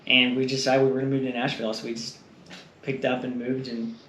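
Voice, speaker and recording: A man's voice: mid-range voice, level tone, quick pace, fairly bright tone. A man from Texas, a guest in a casual interview, telling stories in a rambling way with many fillers and frequent laughs. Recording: echoey room with faint noise.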